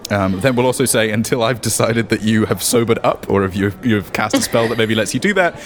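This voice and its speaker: A man's voice: lowish voice, expressive, brisk, slight background noise. A man casually narrating and explaining rules as game master of a tabletop roleplaying game.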